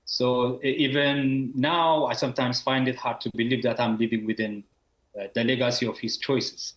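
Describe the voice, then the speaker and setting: A man's voice: medium pitch, steady tone, normal speed, roomy sound, low-quality recording. A man from Ethiopia, a university professor in his thirties, answering interview questions over a video call with many fillers and restarts.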